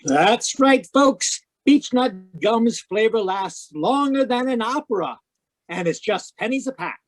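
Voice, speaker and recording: Man acting a high-voiced, upbeat radio announcer. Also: lively intonation, a little slow, no echo. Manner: salesmanlike. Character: folksy, upbeat male radio announcer selling gum. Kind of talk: old-time radio announcing and sponsor ad. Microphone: video call.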